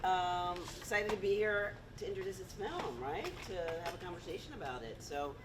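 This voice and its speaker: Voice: mid-range voice, lively intonation, normal speed. A moderator running a live panel in a playful, cheering way. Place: theater stage before an audience. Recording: echoey hall, noisy, far mic.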